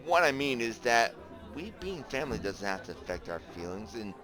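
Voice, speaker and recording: A man's voice: mid-range voice, sing-song, normal speed, close to an everyday voice. A man playing an earnest, teasing young romantic lead in a visual novel, with music behind him. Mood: earnest, hesitant. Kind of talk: visual novel voice acting with narration. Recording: background music under the voice.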